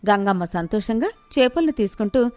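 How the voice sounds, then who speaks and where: A woman's voice: mid-range voice, lively intonation, a little fast, mellow, no room sound, faint music behind. A woman narrator reading a scripted moral tale in storytelling style.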